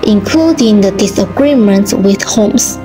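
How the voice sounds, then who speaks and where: A woman's voice: medium pitch, expressive, a little slow, poor audio. A woman narrating an amateur radio drama as a scripted storyteller. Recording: music clearly behind the voice.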